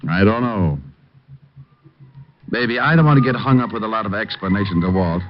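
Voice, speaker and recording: An actor playing a hard-boiled, skeptical private eye with a wry, slangy delivery in an old radio noir drama. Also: male voice, lowish voice, expressive, a little fast, slight background noise, natural voice. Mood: wry, brisk. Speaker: private detective lead and narrator. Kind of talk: 1940s radio noir acting and narration. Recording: dull vintage radio recording.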